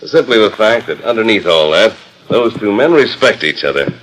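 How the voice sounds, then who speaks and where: An actor playing a calm masked western hero, firm, measured and well-spoken, in 1940s radio style. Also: male voice, fairly low pitch, low-quality recording.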